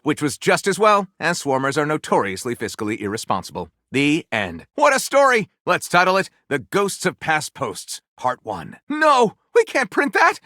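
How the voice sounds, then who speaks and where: A flamboyant, pompous male author character from a video game, spinning tales with grandiose melodrama. The voice is bright and forceful, leaping widely in pitch with excitable, gushing flourishes. It is recorded very dry and close. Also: normal speed, hardly any pauses, no room sound, heavily acted. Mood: excited, pompous, then alarmed, then scheming. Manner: grandiose, melodramatic narrator delivery with gushing exclamations. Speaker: voice actor playing a game character. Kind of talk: theatrical storytelling, video game voice lines.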